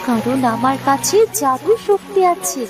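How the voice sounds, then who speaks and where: Lady doing a magical mama fish queen, plaintive n begging, high, cartoon, music behind. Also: lively intonation, unhurried. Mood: plaintive explaining. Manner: imploring, plaintive. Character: pleading magical queen hilsa fish, a worried mother. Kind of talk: cartoon voice acting.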